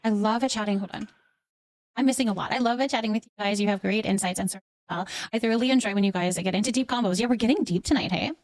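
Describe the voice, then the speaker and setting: Woman, Canadian accent, very quick pace, dry recording, casual livestream chat, affectionate, encouraging. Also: mid-range voice, darkish tone, no room sound. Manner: affectionate, encouraging, addresses listeners with endearments. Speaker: livestream host. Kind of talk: casual livestream chat, reading and answering viewer comments.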